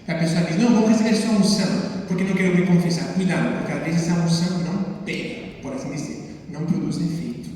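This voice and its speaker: Male priest, native Spanish speaker with a Spanish accent, higher-pitched voice, sermon delivery in phrases, very echoey church. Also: steady tone, fast, few pauses, a little mellow. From Spain. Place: large reverberant church interior. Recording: very echoey church, distant mic, faint noise.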